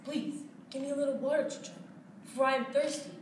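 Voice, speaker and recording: A woman's voice: sits high, fairly quick, a little mellow, voice pushed up, lightly acted. Lady acting a tired runaway army commander from the bible, demanding, echoey room, distant mic. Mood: pleading, weary. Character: weary fugitive male army commander. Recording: roomy, distant mic, faint noise.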